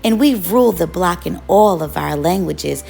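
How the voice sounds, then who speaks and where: A woman reading a picture book aloud as a storyteller, with expressive, measured narration. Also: lowish voice, gravelly, soft background music. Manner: measured storytelling, acts out children's quoted calls.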